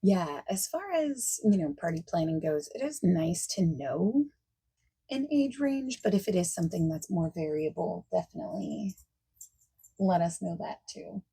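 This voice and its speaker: A woman playing a friendly, accommodating party planner in a softspoken ASMR roleplay, chatting casually and reassuringly, at times nearly whispering. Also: lowish voice, animated, vocal fry, breathy edge, exaggerated voice, low-quality recording. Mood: friendly, chatty. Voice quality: soft-spoken, breaking into near-whispers. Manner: casual, reassuring, chatty, pausing for unheard replies. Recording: faint noise, keyboard typing and clicking.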